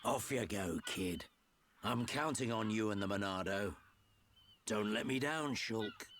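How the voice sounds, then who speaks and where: An actor playing a rough, blunt male veteran fighter who talks in a gruff, colloquial way. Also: lowish voice, animated, a little slow, fairly bright tone, slight background noise.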